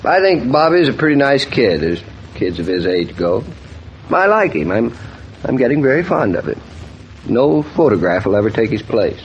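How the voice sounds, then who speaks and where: Dry, teasing husband, noisy recording. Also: male voice, mid-range voice, sing-song, moderate pace, muffled recording. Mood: wry, contrary. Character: wry, gruff new father and businessman husband. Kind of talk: old-time radio soap opera acting.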